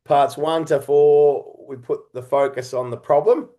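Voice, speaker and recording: A man speaking as an interview guest, a writer and creator talking reflectively and expressively in halting, conversational phrases. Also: a little high, steady tone, dark tone.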